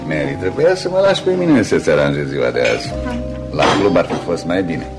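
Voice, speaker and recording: An actor playing a pompous, self-assured English gentleman in a Romanian radio play, with music behind him. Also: low voice, big pitch swings. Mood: brisk, dismissive. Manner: pompous, brushing off concerns. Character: self-assured English gentleman, dismissive colonial host and suitor. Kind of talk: Romanian radio theatre acting.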